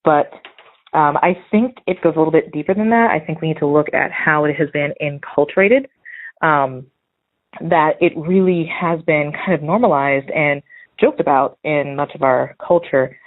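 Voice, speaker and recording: A woman's voice: low-pitched, normal speed. An American woman leaving a casual voicemail as a listener calling in, earnest and a little hesitant as she thinks aloud. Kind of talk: casual spoken voicemail commentary. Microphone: phone. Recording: muffled phone-line voicemail.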